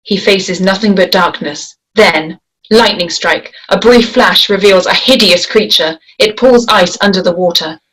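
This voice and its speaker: A woman's voice: mid-range voice, unhurried, a bit bright, a little hiss or hum, rough recording. A woman reading stage directions as the narrator at a table read, in scripted narration.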